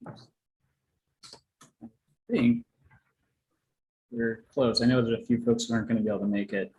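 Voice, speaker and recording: A man's voice: mid-range voice, fairly even intonation, moderate pace, warm tone, lo-fi sound. A man chairing an informal online meeting, speaking in a hesitant, casual and accommodating way. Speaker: meeting chair, medical director. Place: indoor room on video call. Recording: roomy echo, faint noise.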